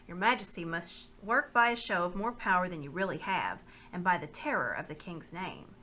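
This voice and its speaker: Woman voicing a shrewd middle-aged nobleman, courtly, earnest, bright voice. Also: fairly low pitch, expressive, a little fast.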